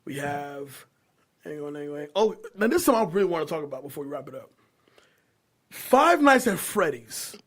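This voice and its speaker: A middle-aged American man hosting a casual podcast, telling stories in an animated, slangy, profane way. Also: a little high, a little fast. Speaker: podcast host.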